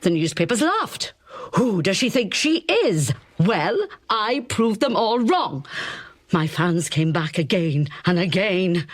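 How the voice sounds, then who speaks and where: Ageing singing diva, Welsh accent, camp and self-important, swooping expressive delivery, radio drama. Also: female voice, fairly low pitch, slow. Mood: indignant, defiant. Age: older. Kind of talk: radio play acting.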